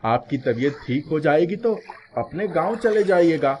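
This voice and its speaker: Man voicing an earnest schoolboy, raised pitch. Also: lively intonation, moderate pace, a little mellow, a little hiss or hum, lightly acted. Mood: polite, helpful. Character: kind, earnest schoolboy, humble and easily flustered. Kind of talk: animated moral story character dialogue.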